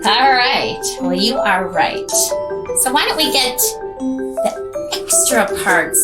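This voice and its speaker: A woman's voice: mid-range voice, lively intonation, takes it slowly, loud music behind the voice. A woman craft teacher guiding children step by step in simple, encouraging phrases.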